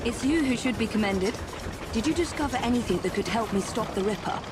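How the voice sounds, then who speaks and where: An actress playing a resolute Victorian vigilante in a video game, with an English accent. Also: female voice, fairly high pitch, lively intonation, fairly quick, loud music behind the voice, close to an everyday voice. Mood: reassuring. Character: resolute Victorian woman vigilante hunting a killer.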